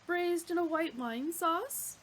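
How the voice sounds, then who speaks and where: A woman's voice: extremely high pitch, takes it slowly, a little hiss or hum, voice pushed up. A woman voicing a polite, eager male beast that offers itself as a meal, in a courteous waiter-like manner. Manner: courteous waiter-like salesmanship, contented.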